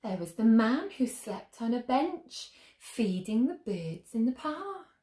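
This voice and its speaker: Expressive young woman reading slowly to children. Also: fairly high pitch. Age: young adult. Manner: expressive, gently questioning, addressing young children. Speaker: storyteller, teacher reading to children. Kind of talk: children's picture-book read-aloud with asides to listeners. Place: small room at home, indoors. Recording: roomy indoor echo.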